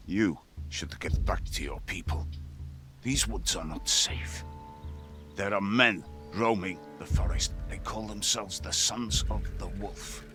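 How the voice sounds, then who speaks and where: Game role: gruff lone woodsman, low and slow, wary, brooding, terse. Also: pushed and bright. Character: wary solitary forest hunter, gruff grown man. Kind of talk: dramatic video game acting.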